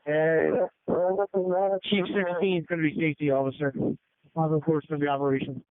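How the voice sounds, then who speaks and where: High-pitched male fire commander on radio. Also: fairly even intonation, fairly quick, dry recording. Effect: two-way radio. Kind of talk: fireground radio traffic. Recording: phone-like narrowband radio transmission.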